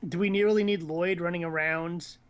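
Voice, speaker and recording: A man's voice: high voice, steady tone, a little fast. A man hosting a casual gaming chat, rambling and thinking aloud with blunt opinions. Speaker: host of a gaming news show.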